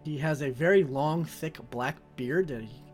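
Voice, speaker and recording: A man's voice: a little high, normal speed. A young man answering interview questions casually as a player describing his fantasy game character. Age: young adult. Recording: music behind the voice. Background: background music.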